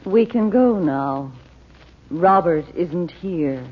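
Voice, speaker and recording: An actress playing a frightened, entranced mother, speaking slowly and fearfully in 1930s radio drama style. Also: on the low side, animated, husky, heavily acted. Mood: distressed. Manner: dazed, fearful. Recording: thin, tinny old radio recording.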